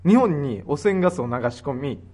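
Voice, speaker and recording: A man with a fairly high voice and very varied intonation. He talks casually as a comedy radio co-host, playfully spinning out silly ideas in a conversational back-and-forth. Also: big pitch swings, brisk, mellow. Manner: playful, pitches absurd ideas. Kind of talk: casual comic brainstorming.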